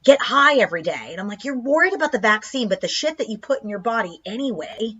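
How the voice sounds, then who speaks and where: Bright-voiced chatty woman comedian. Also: a little high, fast, breathy edge, highs cut off. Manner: chatty, animated, lots of "like". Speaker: comedian co-host. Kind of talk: casual livestream chat.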